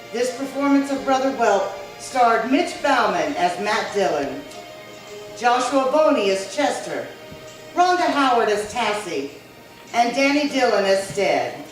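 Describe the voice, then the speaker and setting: A woman's voice: a little high, big pitch swings, unhurried. Echoey woman announcer over music. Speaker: radio show announcer. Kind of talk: scripted announcement. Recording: echoey hall, distant mic.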